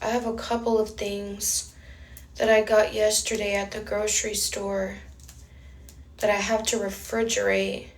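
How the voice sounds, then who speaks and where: A woman's voice: mid-range voice, level tone, a little slow. A young woman from Houston, Texas, rambling casually to viewers on a livestream and reading out their names. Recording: echoey room.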